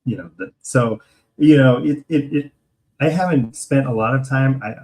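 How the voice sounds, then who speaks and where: Husky, halting American man on a podcast. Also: mid-range voice, a little mellow, poor audio. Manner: hesitant, halting, thinking aloud. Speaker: podcast co-host. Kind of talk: casual political discussion.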